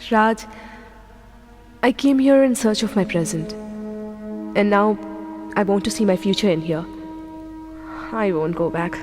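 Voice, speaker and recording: A woman's voice: medium pitch, a little slow, long pauses, in a large hall, over music, natural voice. An actress with an Indian English accent playing a direct, spirited young woman in an audio drama. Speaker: young woman in a radio play.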